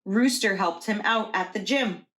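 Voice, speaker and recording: Female preschool teacher, mid-pitched voice, reading a storybook aloud to young children. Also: unhurried, fairly bright tone.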